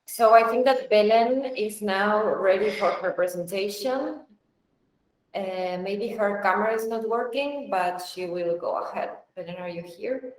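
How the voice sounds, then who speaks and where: A woman's voice: mid-range voice, a little slow. A woman hosting student presentations on a video call, moderating informally. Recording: echoey room sound.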